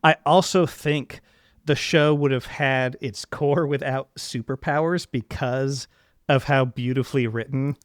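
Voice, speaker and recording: A man's voice: mid-range voice, steady tone, a little slow, no echo. Male podcast interviewer, dry close sound. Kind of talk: conversational interview.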